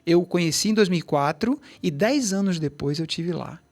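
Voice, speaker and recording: A man's voice: high voice, expressive, moderate pace, darkish tone. A middle-aged man, a tai chi teacher, telling long rambling stories in a casual interview, with many restarts and asides. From Brazil, Bahia.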